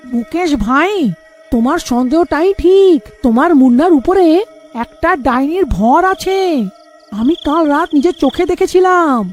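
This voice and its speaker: A woman voicing an earnest village neighbour in a cartoon, giving warnings gravely and emphatically. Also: high voice, animated, normal speed, a little mellow, faint music behind. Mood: ominous warning. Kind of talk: cartoon voice acting.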